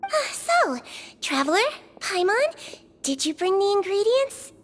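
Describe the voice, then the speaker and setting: A woman voicing a gentle, caring little nurse creature in a game, speaking softly and patiently. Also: extremely high pitch, sing-song, a little slow, a little hiss or hum, heavily acted. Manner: soft, kindly, patient, reassuring. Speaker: head nurse. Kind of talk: game voice acting.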